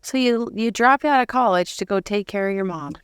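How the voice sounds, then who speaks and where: Reactive woman interviewer, medium pitch. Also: fairly quick, clean tone, no room sound, clean studio sound. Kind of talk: casual interview chat.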